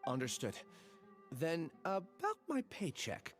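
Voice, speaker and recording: A man's voice: fairly high pitch, wildly swooping pitch, slow pace, faint music behind, exaggerated voice. An actor playing a meek young male research assistant in a video game, apologetic and deferential. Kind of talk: scripted video game dialogue.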